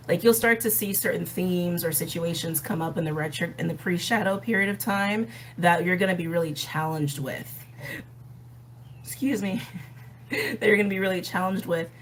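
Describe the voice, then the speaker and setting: A woman with a hoarse, raspy voice, host of an astrology channel, explaining the planets in a chatty way with frequent ums. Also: lowish voice, a little hiss or hum, low-quality recording. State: hoarse, recovering from a respiratory illness. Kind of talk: casual explanatory astrology talk.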